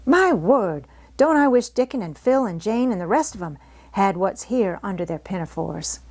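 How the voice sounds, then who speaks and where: A woman's voice: medium pitch, lively intonation, normal speed. A woman voicing a good-tempered, outspoken young housemaid who rattles on in homely dialect for a storybook. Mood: indignant, outspoken. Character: good-tempered, chatty young Yorkshire housemaid, plain-spoken country girl.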